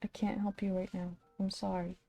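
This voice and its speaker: A young woman streaming a game from home, thinking aloud in a soft, rambling way and gently coaxing her pet between remarks. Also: medium pitch, flat delivery, moderate pace, vocal fry. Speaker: streamer and channel host. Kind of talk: casual game-stream chatter.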